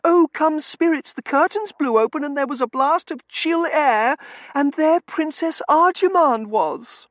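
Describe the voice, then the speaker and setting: A man's voice: very high-pitched, a little slow, fairly bright tone, no echo, voice pushed up. A man voicing a spoiled, gushing young Victorian woman in an audiobook, dramatic and babyishly cooing. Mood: shrill, excited. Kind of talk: audiobook character voice.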